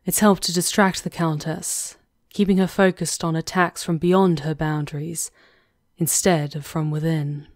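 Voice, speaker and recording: A woman acting a composed, sly witch conspirator with a measured, knowing manner in an ASMR fantasy roleplay. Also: low voice, fairly even intonation, no echo, clean studio sound. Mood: cautioning. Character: composed, sly female witch conspirator plotting against a countess.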